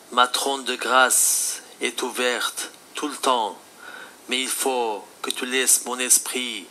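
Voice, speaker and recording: A man's voice: medium pitch, pushed and bright, a little hiss or hum. A man playing a stern, fatherly Jesus, teaching solemnly in a scripted religious phone-call sketch. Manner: solemn, admonishing, deliberate.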